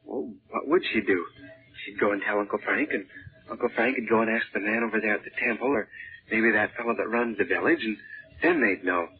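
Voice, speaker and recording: A man's voice: fairly low pitch, brisk, husky. An actor playing a plucky, boastful American boy adventurer in a 1930s radio serial, with slangy, eager storytelling. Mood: earnest scheming. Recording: muffled, tinny 1930s radio recording.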